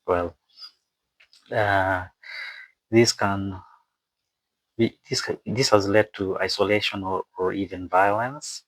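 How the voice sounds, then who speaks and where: Deep-voiced man, halting non-native explainer. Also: fairly even intonation, dry recording, poor audio. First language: not English. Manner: halting, stumbling over words and repeating phrases. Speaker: video presenter and narrator. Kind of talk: explainer narration read from a script with asides.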